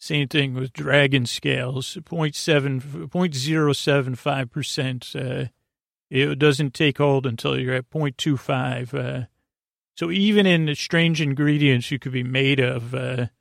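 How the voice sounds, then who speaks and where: A man with a gravelly, creaky voice delivers a whimsical bedtime monologue, recorded dry and close. He hesitates and stammers, wandering from one tangent to the next in an ordinary mid-range pitch. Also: dry recording. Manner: meandering, hesitant, halting with frequent stammers and trailing tangents. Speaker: podcast host.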